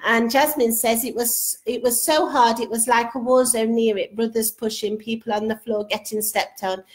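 A woman's voice: on the high side, steady tone, normal speed. A middle-aged woman hosting a casual live stream from her sofa at home, reading out viewer comments and chatting with her young daughter beside her. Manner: chatty, warm-toned aside to her daughter, many restarts. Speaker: host. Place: living room sofa at home. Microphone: webcam at close range.

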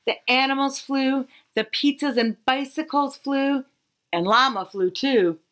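A woman in her thirties reading a children's picture book aloud expressively, with dramatic pauses and emphasis. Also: high-pitched, fairly bright tone. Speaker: read-aloud storyteller.

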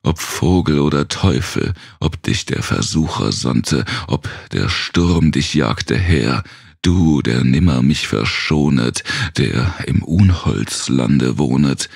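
Male narrator for Gothic verse: brooding, theatrical, heavy gravel in the throat, very close dry sound. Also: a bass voice, lively intonation, takes it slowly, dry recording. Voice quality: gravelly, husky, rasping. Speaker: narrator reading a horror poem aloud. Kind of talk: dramatic literary recitation, dark Gothic poetry.

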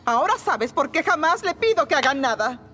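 A woman with a Latin American Spanish accent playing an exasperated, scolding, excitable cartoon mother, with music underneath. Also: high-pitched, brisk. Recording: loud music under the dialogue.

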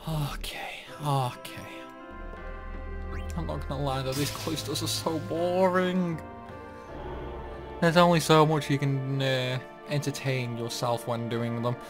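A man's voice: medium pitch, fairly even intonation, slow pace, long pauses. A man giving casual playthrough commentary on a video game, rambling along with grumbling asides. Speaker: gaming video host. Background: game music.

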